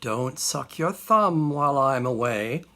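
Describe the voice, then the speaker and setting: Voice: mid-range voice, animated, takes it slowly, a bit bright. A storyteller voicing a stern mother who warns her small son, in a verse recital. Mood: stern warning.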